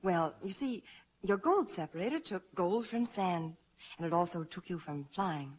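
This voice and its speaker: An actress playing a devoted, hopeful young wife, tender and earnest, in a 1940s radio drama. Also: female voice, lowish voice, expressive, normal speed, a little hiss or hum, lightly acted. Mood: tender encouragement. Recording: old 1940s radio broadcast recording.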